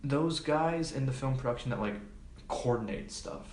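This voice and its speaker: A man's voice: medium pitch, animated, normal speed, a little mellow. A man speaking as an interview guest in a casual, informal, hesitant way, with lots of filler words. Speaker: podcast guest, film production assistant. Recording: echoey room, background noise.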